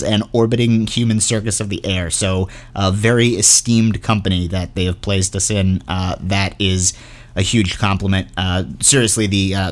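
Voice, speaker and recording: A man hosting a podcast, giving announcements in a chatty, informal, earnest way. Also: lowish voice. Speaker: podcast host and show creator.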